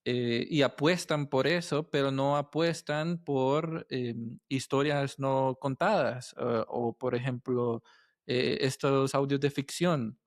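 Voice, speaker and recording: A man hosting a casual podcast interview, thinking aloud haltingly and often ending phrases with tag questions. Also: medium pitch, steady tone, dry recording, studio quality.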